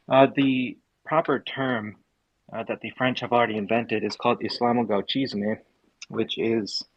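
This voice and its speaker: Over a dull, low-fidelity mic with a dry, close sound, a young American man from Indiana speaks earnestly and emphatically. He often pauses on filler ums while he builds his points. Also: dry recording. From Indiana, USA. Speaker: speaker in a live audio space. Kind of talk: impassioned political commentary.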